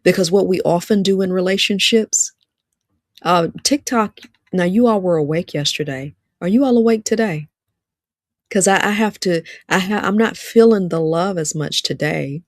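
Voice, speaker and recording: A woman's voice: fairly low pitch, moderate pace, dry recording, clean studio sound. A woman in her fifties with an Alabama accent gives a livestreamed motivational talk, emphatic and preacher-like, repeating lines for stress. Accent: Southern US, Alabama. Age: 50s. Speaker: relationship speaker.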